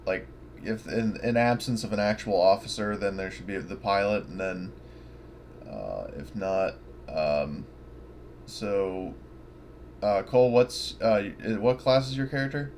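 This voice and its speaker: A man's voice: on the low side, unhurried, darkish tone. A man chatting casually as a player in a tabletop roleplaying game, tentatively thinking aloud. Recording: clear background noise.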